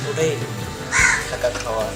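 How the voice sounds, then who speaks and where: Very fast young man from Bangladesh. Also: mid-range voice. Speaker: student. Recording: loud background music.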